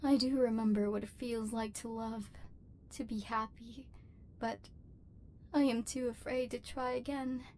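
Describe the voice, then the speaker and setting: A woman voicing a lonely, wounded female robot overlord in an ASMR roleplay, speaking in formal, measured, machine-like phrases, guarded but yearning. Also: fairly high pitch, fairly even intonation, a little mellow, slight background noise. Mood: wary, softening.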